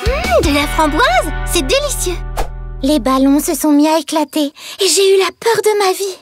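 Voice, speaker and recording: A woman voicing a sassy, chatty young schoolgirl in a French cartoon, cheeky and expressive. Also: very high voice, normal speed, soft background music.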